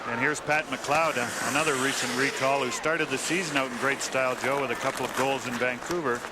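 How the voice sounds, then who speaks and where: A man's voice: mid-range voice, steady tone, fairly quick, very noisy. A man working as a color commentator, giving conversational analysis of a live hockey game.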